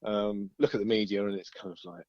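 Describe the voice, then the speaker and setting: A man chatting informally as an interviewed musician, halting and circling back over his phrases. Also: lowish voice, little pitch movement, no room sound, dull audio. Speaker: band singer-guitarist being interviewed. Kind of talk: casual interview chat.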